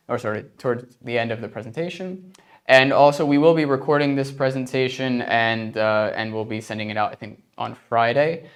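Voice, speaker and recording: A man from the United States with an American accent, hosting an informal webinar in a conversational, hesitant way. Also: mid-range voice. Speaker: webinar host.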